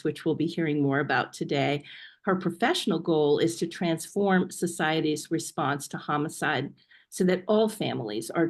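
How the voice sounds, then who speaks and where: A woman hosting a video webinar, introducing a guest with frequent ums as she reads a bio. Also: low voice. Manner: hesitant, many ums while reading a bio. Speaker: webinar host. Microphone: video call.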